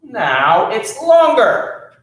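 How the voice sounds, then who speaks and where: A man's voice: sits high, huge pitch swings, drawn-out words, fairly bright tone, echoey room, voice pushed up, exaggerated voice, rough recording. A man voicing a cheerful, foolish innkeeper's wife who reassures in a chirpy, breezy way.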